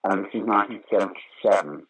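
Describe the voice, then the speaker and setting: A man's voice: low-pitched, quick pace, slightly rough, poor audio. An older man, answering interview questions conversationally in a wry, opinionated, emphatic way. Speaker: interview guest, actor, writer and director of pantomime.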